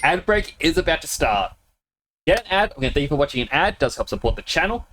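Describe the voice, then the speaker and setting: A man's voice: fairly high pitch, sing-song, fast, fairly bright tone, faint music behind. A man streaming a video game, chatting casually with viewers, laughing often and a little sniffly with a cold. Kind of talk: casual live-stream chat.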